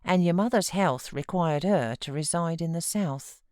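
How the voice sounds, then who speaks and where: A British woman voicing a kindly housekeeper in an audiobook, gently coaxing and reassuring a child. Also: on the low side, moderate pace, no room sound, clean studio sound, natural voice. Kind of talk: audiobook character voice.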